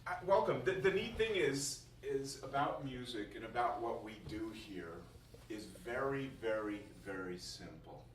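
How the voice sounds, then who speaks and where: Guy teacher talking loose and jokey to new students, repeats himself to make points, roomy sound, bit of noise. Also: mid-range voice. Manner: jokey, laughs often, repeats phrases for emphasis. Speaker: music school teacher addressing new students. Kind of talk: informal first-day classroom talk. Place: indoor classroom. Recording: roomy, distant mic, faint noise.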